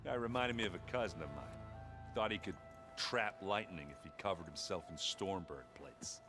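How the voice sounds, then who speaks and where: An actor playing a big, good-natured warrior in a video game, awkward and earnest, with a folksy, plain-spoken way of talking. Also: male voice, low voice, normal speed, close to an everyday voice. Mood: easygoing, earnest. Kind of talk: video game character dialogue. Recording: loud music behind the voice.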